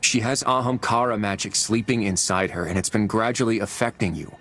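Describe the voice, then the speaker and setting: An actor playing an earnest, determined soldier hero in a video game, speaking with resolve. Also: male voice, fairly low pitch, fairly quick, fairly bright tone. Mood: firm, pleading. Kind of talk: dramatic video game acting.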